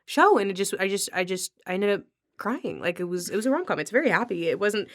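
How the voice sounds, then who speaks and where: A woman's voice: medium pitch, lively intonation, quick pace, fairly bright tone. A woman hosting a casual podcast conversation, talking in an effusive, outgoing way with a readiness to laugh. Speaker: podcast host.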